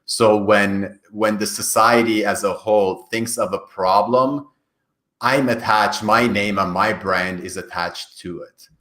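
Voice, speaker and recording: A man's voice: on the low side, fairly even intonation, unhurried, fairly bright tone. A man from Iran, a native Farsi speaker, giving earnest, explanatory answers in a conversational interview as a career coach. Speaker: career coach, podcast guest. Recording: echoey, reverberant room.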